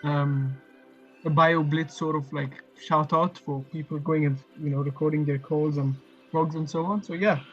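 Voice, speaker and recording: A man's voice: on the high side, fairly even intonation, muffled recording, faint music behind. A man co-hosting an online workshop, talking casually over a video call with lots of fillers and restarts. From lives in Australia. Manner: halting, many fillers and restarts. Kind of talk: casual online session chat.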